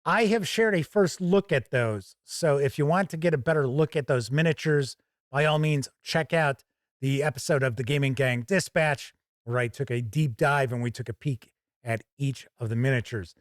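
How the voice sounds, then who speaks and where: A man hosting a gaming channel, giving a conversational review to camera in a folksy, measured way with emphatic phrasing. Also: medium pitch, no echo, studio quality.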